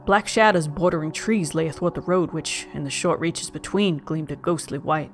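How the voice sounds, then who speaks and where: An actor playing a haunted young gentleman, narrating a gothic tale in formal, melancholy, literary speech. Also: medium pitch, moderate pace, faint music behind, natural voice. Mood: wistful, mournful. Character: young man Joel Hetman Jr, mournful, haunted, genteel narrator. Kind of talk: dramatic first-person gothic audio narration.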